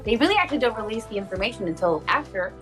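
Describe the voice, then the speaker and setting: A woman who works as a voice actor, chatting casually in an interview and telling stories in an animated way. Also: medium pitch, racing, faint music behind. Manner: animated storytelling, acts out quoted reactions.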